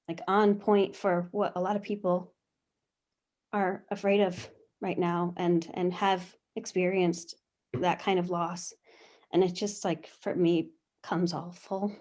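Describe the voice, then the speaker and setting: A woman actor answering a panel question over a video call, hesitant and soft-spoken. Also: lowish voice.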